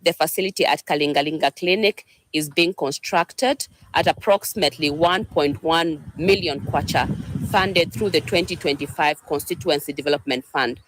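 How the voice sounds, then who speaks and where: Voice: medium pitch, moderate pace, fairly bright tone, a little hiss or hum, rough recording. An announcer reading a scripted public notice in a formal manner.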